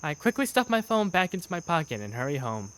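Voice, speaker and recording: A woman's voice: on the low side, fast talker. A woman voicing an earnest young male student who narrates his daily life in a fan-dubbed visual novel. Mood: reflective. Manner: expressive first-person storytelling. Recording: background music under the voice.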